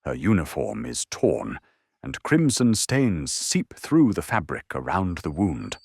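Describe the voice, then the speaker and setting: A man's voice: low voice, sing-song, measured pace, gravelly, a little mellow, slightly rough, no echo, clean studio sound. A man delivering dramatic scripted narration for an audio drama. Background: faint music.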